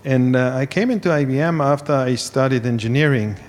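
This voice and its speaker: An Austrian man in his fifties speaks earnestly, sometimes repeating words, at a middle pitch. His voice carries a long reverb from the event hall around him. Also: fairly quick. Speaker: panelist. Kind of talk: self-introduction on a panel.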